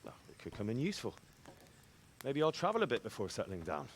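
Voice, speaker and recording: Eager, quick-talking young blacksmith's son. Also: male voice, mid-range voice, huge pitch swings, a little hiss or hum. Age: young adult. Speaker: actor in a video game. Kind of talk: dramatic game cutscene acting.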